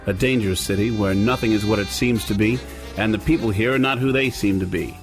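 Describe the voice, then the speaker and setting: A man's voice: fairly low pitch, fairly quick, close to an everyday voice. Man playing a cynical hardboiled detective, music underneath. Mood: brooding narration. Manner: sardonic, deadpan, world-weary. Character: cynical wisecracking hardboiled male noir detective, Joe Smoke. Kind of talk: noir radio drama acting with first-person narration.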